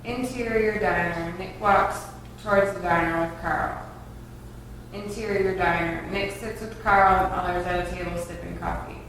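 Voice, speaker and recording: A woman's voice: lowish voice, steady tone, moderate pace, creaky, a bit bright. A woman reading stage directions as scripted narration at a table read. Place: reverberant room, far from mic. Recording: echoey room, distant mic, faint noise.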